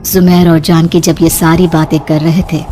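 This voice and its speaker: A woman with a fairly low voice narrates in a scripted, dramatic horror storytelling style. Music plays clearly behind her. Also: level tone, normal speed, mellow.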